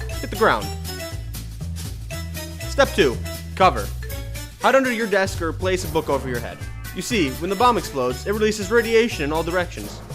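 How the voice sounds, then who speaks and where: A man puts on an earnest, cheerful announcer from a 1950s public safety film. His fairly high voice swings with lively inflection over faint music.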